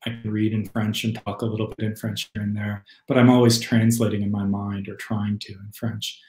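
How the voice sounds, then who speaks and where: A young man speaks through a webcam from a room at home, his voice carrying a roomy echo. He talks in a thoughtful, hesitant way, pausing often and filling gaps with small sounds. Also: lowish voice, flat delivery, darkish tone. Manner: thoughtful, hesitant with many fillers. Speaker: poet and critic, guest. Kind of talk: video call conversation. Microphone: video call webcam.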